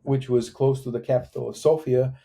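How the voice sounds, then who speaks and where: A middle-aged man from Bulgaria with a Bulgarian accent, a guest talking casually in an interview over a video call, halting with many fillers and restarts. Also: medium pitch, steady tone, creaky, mellow. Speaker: guest engineer.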